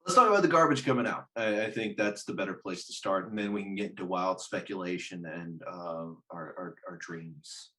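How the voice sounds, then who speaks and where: A man's voice: low-pitched, fairly quick, few pauses, sharp tone. A man hosting a casual, unscripted podcast chat, blunt and profane in his opinions. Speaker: show host.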